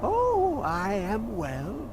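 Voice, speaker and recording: Crazed old hermit scholar, high-pitched, slow, singsong, cryptic fantasy game character, noisy ambience. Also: male voice, wildly swooping pitch, exaggerated voice. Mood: giddy, rambling. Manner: singsong, erratic, whispering and exclaiming, rambling. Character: mad, rambling old male hermit scholar, rapturous and cryptic. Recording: clear background game ambience noise.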